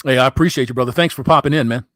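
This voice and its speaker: A man's voice: mid-range voice, very fast, a bit bright, no echo, studio quality. A middle-aged man hosting a casual call-in podcast, blunt and profane, laughing often. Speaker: podcast host.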